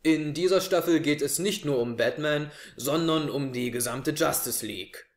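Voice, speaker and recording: Man in his twenties, slightly high voice, show host, presenting to camera, roomy echo. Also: normal speed. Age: 20s. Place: small living room, indoors on a couch. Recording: echoey room.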